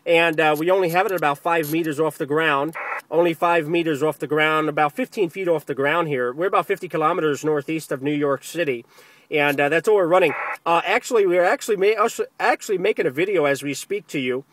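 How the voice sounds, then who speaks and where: Higher-pitched man on ham radio. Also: brisk, a bit bright, a little hiss or hum. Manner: repeats phrases for emphasis. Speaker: amateur radio operator. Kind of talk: ham radio contact, spoken to camera and over the air. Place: outdoors in the field.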